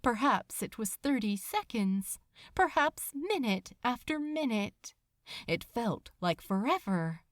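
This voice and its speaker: Slow, highly expressive woman narrator. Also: no echo, studio quality. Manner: solemn, deliberate, suspenseful. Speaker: podfic narrator. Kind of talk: dramatic scripted story narration.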